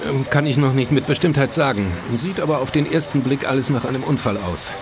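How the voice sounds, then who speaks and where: An actor playing a grudging, matter-of-fact police inspector in a German crime audio drama, dry and businesslike. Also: male voice, medium pitch, brisk, noisy background, natural voice. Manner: dry, businesslike, disdainful toward outsiders.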